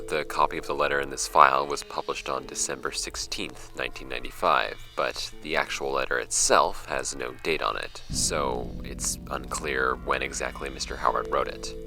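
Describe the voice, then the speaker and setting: A wry podcast host, super deep creaky voice, casual and introspective, audio drama. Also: male voice.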